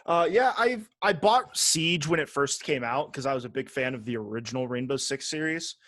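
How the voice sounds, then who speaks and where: A man's voice: medium pitch, expressive, moderate pace, a bit bright, dry recording, studio quality. A man co-hosting a podcast in casual chat, talking bluntly and swearing freely. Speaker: podcast co-host.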